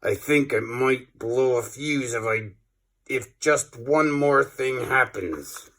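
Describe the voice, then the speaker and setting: A man's voice: mid-range voice, slow pace, fairly bright tone. A man voicing a proud, indignant evil professor who seethes with anger in a children's storybook. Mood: furious. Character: proud, furious adult male evil professor. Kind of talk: children's storybook read-aloud.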